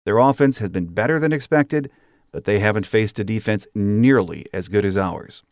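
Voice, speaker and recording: Low-voiced man hosting a sports podcast. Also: normal speed, no room sound, studio quality. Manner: steady, read-from-script delivery. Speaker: podcast host. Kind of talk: scripted podcast monologue.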